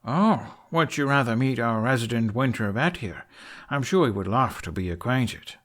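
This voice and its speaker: A man's voice: high voice, unhurried, breathy edge, voice pushed up, exaggerated voice. A man voicing an earnest young male dragon rider who drawls and speaks breathily, in a read-aloud story. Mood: wry.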